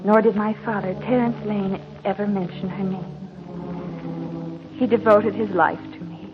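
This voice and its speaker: A woman's voice: mid-range voice, natural voice. An actress playing a sheltered, wistful young heiress who tells her story formally in a 1940s radio drama style. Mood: wistful recollection. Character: sheltered, wistful 21-year-old heiress, genteel and melancholy. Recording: muffled tinny 1940s radio recording.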